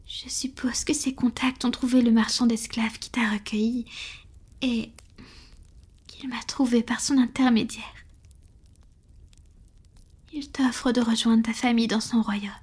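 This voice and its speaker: A woman acts out a centuries-old female vampire in love, in an ASMR roleplay. Her voice is very breathy and whispered, sitting a little high. She speaks slowly and intimately, with a vulnerable, hesitant tenderness. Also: steady tone, darkish tone. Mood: hesitant, confessing.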